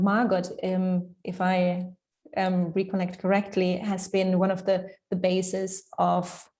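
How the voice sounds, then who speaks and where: Mellow, even-toned woman moderator. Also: lowish voice. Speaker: moderator of an online panel. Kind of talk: informal moderating. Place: indoors, on a video call. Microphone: video call.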